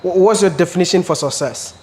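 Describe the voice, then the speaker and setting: Probing male interviewer, slightly high voice. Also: fast talker, warm tone, slight background noise. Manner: probing, conversational questions. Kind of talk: interview questions.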